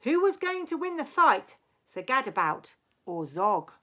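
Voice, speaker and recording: Slow, animated woman reading a storybook. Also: sits high. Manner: dramatic, deliberate phrasing. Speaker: teacher reading aloud. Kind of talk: expressive children's storybook reading in rhyme.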